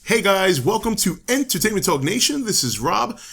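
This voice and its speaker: Hoarse man hosting a chatty talk show. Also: high-pitched, very animated, normal speed, a bit bright. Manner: rambling, thinking aloud with restarts. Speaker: host. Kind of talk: casual solo talk to camera.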